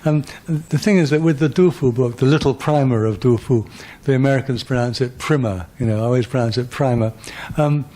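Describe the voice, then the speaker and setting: An older man in his seventies takes audience questions after a lecture. His voice is mellow and dark, and he talks in a loose, discursive way with a dry, self-mocking humour. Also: medium pitch, fairly quick, few pauses. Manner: discursive, wry and self-deprecating. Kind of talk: conversational lecture Q&A.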